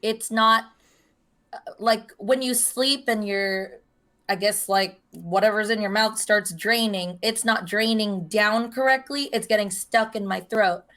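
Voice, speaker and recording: A young woman with a hoarse voice, co-hosting a podcast in casual chat, telling a long story and acting out the voices of the people in it. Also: mid-range voice, unhurried, bright, forceful tone. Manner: chatty storyteller, acts out quoted voices. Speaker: podcast co-host.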